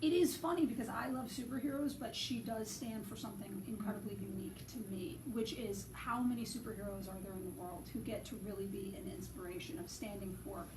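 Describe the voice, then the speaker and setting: A woman's voice: medium pitch, fairly even intonation, brisk, few pauses. A woman film director answers interview questions with earnest, effusive enthusiasm, stacking up words as she speaks. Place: large public building. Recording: echoey and distant, with noise.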